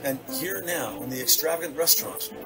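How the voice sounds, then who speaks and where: A man's voice: fairly high pitch, lively intonation, fairly quick, distant mic, music in the background, rough recording. A man reading a scripted present-tense detective story as its narrator.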